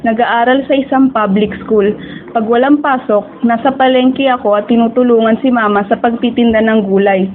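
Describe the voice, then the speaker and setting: A young woman acting as a dreamy, self-doubting high school girl who narrates her own story in a radio drama, mixing in English. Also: fairly even intonation, moderate pace, close to an everyday voice. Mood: reflective. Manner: code-switches into English. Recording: tinny, phone-like audio with hiss.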